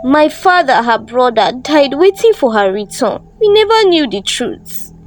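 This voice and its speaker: Woman acting a high-pitched grieving young woman. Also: big pitch swings, a little slow, faint music behind. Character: grieving, pleading young African woman, choir member. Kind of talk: dramatised folktale storytelling.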